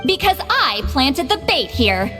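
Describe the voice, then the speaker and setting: A woman's voice: sits high, big pitch swings, measured pace, big-room sound, over loud music. A woman voicing a cocky, prickly female shaman in an anime-style video game, with a bossy, smug and easily flustered manner. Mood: confident. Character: cocky, prickly young-sounding female shaman called Granny.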